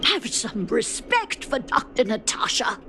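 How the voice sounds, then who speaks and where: Game loyalist: highish, sharp, animated voice, scolding and indignant. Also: female voice, unhurried, slight background noise, exaggerated voice. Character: indignant, scolding woman loyal to her doctor. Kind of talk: video game cutscene acting.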